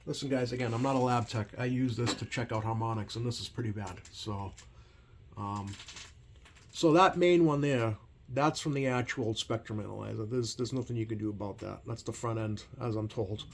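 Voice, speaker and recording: A man giving a casual hands-on demonstration as a repair technician, talking in a hesitant, rambling way with many ums and pauses. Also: medium pitch, gravelly. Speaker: CB radio repair technician hosting a video. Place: repair workbench indoors. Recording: noisy room tone behind voice.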